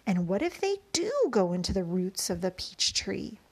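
Woman reading a little boy, worried, hushed, breathy voice. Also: medium pitch, sing-song, moderate pace, voice pushed down. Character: sad, lonely young boy.

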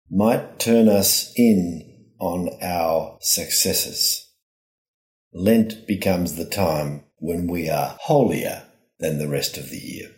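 Man, low-pitched, unhurried, earnest, scripted religious reflection, echoey recording. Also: darkish tone. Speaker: religious speaker giving a gospel reflection. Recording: roomy, echoing voice recording.

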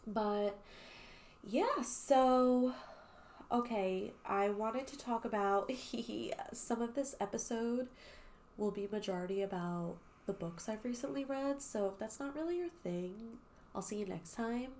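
A woman's voice: a little high, slow pace, darkish tone, slight background noise. A young woman hosting a podcast, chatting casually with lots of fillers and swearing, her voice a little nasal and congested. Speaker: podcast host. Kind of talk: casual chat.

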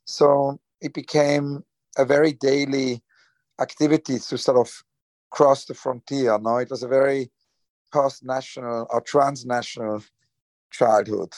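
A man's voice: medium pitch, fairly even intonation, a little slow, darkish tone, no room sound. A man from Switzerland, answering interview questions hesitantly with many fillers and restarts. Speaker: guest. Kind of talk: conversational interview.

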